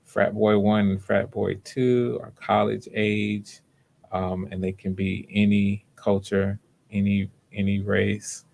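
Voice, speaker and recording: Male host and casting director, deep-ish voice, flat delivery, low-key and hesitant, casual livestream talk. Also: takes it slowly, vocal fry.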